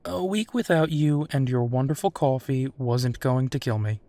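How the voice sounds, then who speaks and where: A man's voice: mid-range voice, normal speed, dark tone, dry recording, close to an everyday voice. A man playing a protective, teasing werewolf private investigator in an intimate roleplay audio drama, speaking in a conversational, affectionate way.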